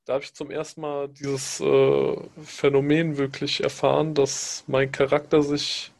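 A man whose first language is Pashto, speaking in a measured, reflective way with frequent hesitations in a casual discussion. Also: medium pitch, completely flat delivery, vocal fry, a little mellow. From Afghanistan.

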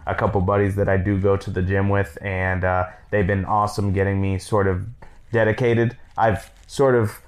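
A man's voice: low-pitched, level tone, normal speed. A man in his thirties co-hosting a podcast, chatting casually with his wife in a rambling, laid-back way with many fillers. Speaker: podcast co-host.

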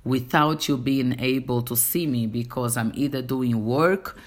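A woman's voice: very deep voice, measured pace. A woman with a Portuguese accent, a native Portuguese speaker, hosting her own podcast and speaking earnestly straight to her listeners in a casual, faith-centered monologue. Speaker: podcast host.

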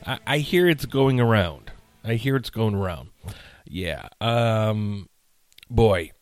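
A man's voice: on the low side, expressive, slow, no room sound. A man hosting his own podcast in a casual, rambling chat full of fillers, sounding tired and a bit nasal while he recovers from illness. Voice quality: slightly nasal. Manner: chatty, rambling, with frequent fillers and restarts. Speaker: podcast host. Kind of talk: casual solo podcast rant. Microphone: studio mic.

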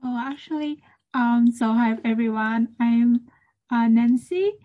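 A woman speaks slowly and hesitantly, searching for words. Her voice is fairly high. It comes through a thin, phone-like call line. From Vietnam. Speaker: medical student guest. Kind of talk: casual interview answers. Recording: tinny phone-like call audio.

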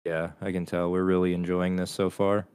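Deep-voiced young man swearing casually. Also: flat delivery, normal speed, darkish tone, clean tone, no room sound, clean studio sound. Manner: swears constantly, blunt and rowdy. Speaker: podcast co-host. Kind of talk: casual chat.

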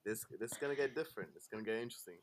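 A man's voice: on the low side, expressive, very fast, a crowd in the background. A Brazilian-born man in his late twenties, a podcast guest talking loosely with lots of fillers and restarts. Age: late 20s. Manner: laid-back, loose talk with many fillers and restarts. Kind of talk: casual interview chat.

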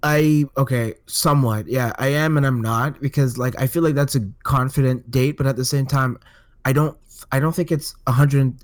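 An easygoing man co-hosts a casual gaming podcast and chimes in with quick agreement. He has a mid-range voice, recorded dry and close with no room sound. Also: medium pitch, steady tone, no echo. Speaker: podcast co-host.

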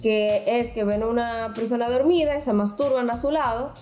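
A woman co-hosting a podcast talks frankly and at length, explaining things with frequent restarts. Her voice is mellow and a little dark. The room is echoey, with faint music. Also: on the high side, steady tone, normal speed. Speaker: podcast co-host. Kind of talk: casual chat.

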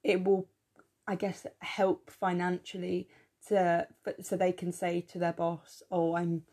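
A young woman co-hosting a podcast in casual chat, enthusiastic and full of fillers, her voice slightly croaky, recorded on a phone in her room. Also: lowish voice, little pitch movement, slow, mellow. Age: young adult. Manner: chatty, enthusiastic, many fillers. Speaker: podcast co-host.

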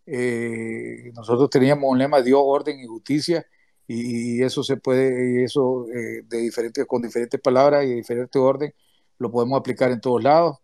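A man giving closing remarks on a remote audio call, speaking in a halting way with many restarts and hesitations. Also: medium pitch, steady tone, mellow, dry recording, studio quality. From Nicaragua. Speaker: activist speaker, former political prisoner. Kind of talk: closing remarks in an online audio chat room.

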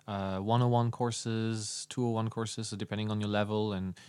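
A man hosting a podcast in a jokey, loose, halting way, chatting with a guest. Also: lowish voice, little pitch movement, darkish tone, smooth, no echo. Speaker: podcast host. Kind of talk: casual interview chat.

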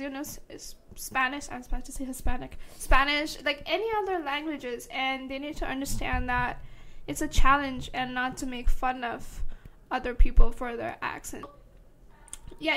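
A woman's voice: high voice, sharp tone, slight background noise. A young woman hosting a casual podcast, talking hesitantly with lots of fillers. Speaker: podcast host.

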